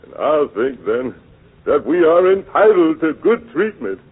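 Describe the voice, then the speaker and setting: An actor playing a humble, dignified old working man in a 1940s radio drama, halting and earnest. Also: a little high, big pitch swings, slightly rough, heavily acted. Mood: impassioned. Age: older. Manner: deferential, halting, rising to earnest oratory. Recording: dull old radio broadcast recording.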